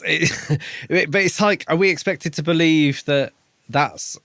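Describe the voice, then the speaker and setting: A man's voice: a little high, slow, a bit bright, no room sound. A man co-hosting a podcast, chatting casually and informally about a book. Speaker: podcast co-host. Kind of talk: casual book discussion chat.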